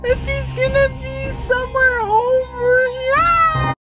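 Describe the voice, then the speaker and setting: A man putting on a strained, forced, high-pitched voice as a grown man turned into an excitable, whiny baby. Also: takes it slowly. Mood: excited. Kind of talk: 3AM challenge video clip. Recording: lo-fi distant audio with loud music.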